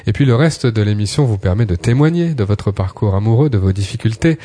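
A male radio host with a fairly low, dark voice. He reads out listener questions and talks with his guest in a very dry, close studio sound. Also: animated, brisk, dry recording.

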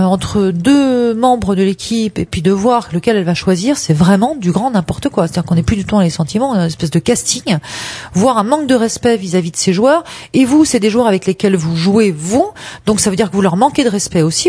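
A woman answering listeners' love questions on a radio show, frank and direct, with many hesitations. Her voice is husky and mellow. The studio sound is dry and close. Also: mid-range voice, lively intonation, brisk, no echo. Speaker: relationship advice guest. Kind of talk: radio advice talk.

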